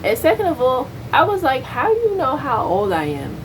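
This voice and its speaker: A woman's voice: high-pitched, wildly swooping pitch, normal speed, a little hiss or hum, rough recording. An American woman in her thirties chatting casually as a vlogger, candid, slangy and opinionated. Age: 30s. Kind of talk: casual chat to camera.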